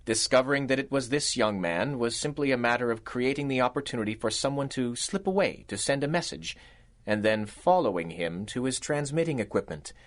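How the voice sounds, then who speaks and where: A man's voice: mid-range voice, animated, brisk, natural voice. A man playing an arrogant criminal genius in a radio superhero serial, speaking in a pompous, condescending way. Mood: smug. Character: arrogant male criminal genius, haughty asylum inmate. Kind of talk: radio drama acting in 1930s pulp serial manner.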